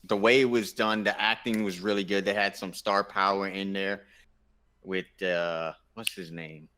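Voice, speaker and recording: Man, low pitch, bright forceful tone, casual panel chat, effusive, frequently rephrasing. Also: steady tone, moderate pace. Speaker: gaming show panelist. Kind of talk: casual chat, movie discussion.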